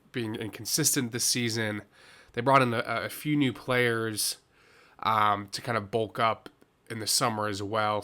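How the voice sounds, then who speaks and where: A man's voice: on the low side, bright, forceful tone, clear, resonant tone. A man giving casual, laid-back gaming commentary as host, sounding a little stuffy with a head cold.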